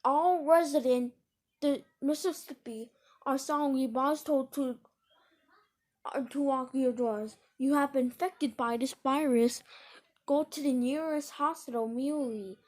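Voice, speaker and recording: A high-voiced woman delivers a scripted emergency alert. She speaks slowly and formally, with a stilted, solemn delivery. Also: a little mellow. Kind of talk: scripted emergency broadcast announcement.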